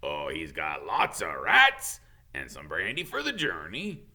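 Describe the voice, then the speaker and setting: A man's voice: sits low, wildly swooping pitch, measured pace, pushed and bright, voice pushed down, full character voice. A man voicing a big, sentimental, blustering man who dotes on his baby pet in a storybook reading. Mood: tearful, doting. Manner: sobbing, doting, blustering.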